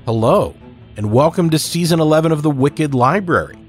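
A man hosting a podcast, reading a scripted introduction in a measured, formal way. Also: fairly high pitch, animated. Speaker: podcast host. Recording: faint music bed behind.

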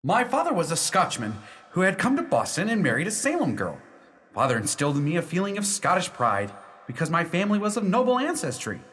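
A man's voice: sits high, wildly swooping pitch, fairly quick, fairly bright tone, heavily acted. An actor playing a proud young lawyer who tells his own ghost tale emphatically and theatrically in a radio play. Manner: emphatic, storytelling. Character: proud half-Scottish, half-Yankee young male lawyer recounting his haunting. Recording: reverberant hall sound.

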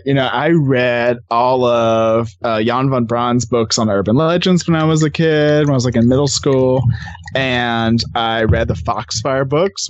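A man's voice: medium pitch, no echo. Chatty man on a dull, dry recording. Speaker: podcast guest. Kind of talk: casual conversation.

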